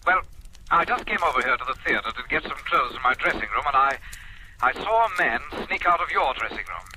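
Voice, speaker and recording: A man's voice: medium pitch, very animated, fairly quick, close to an everyday voice. A man acting as an anxious, deferential theater colleague in an old radio mystery, hesitant, apologetic and earnest. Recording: noisy old radio recording.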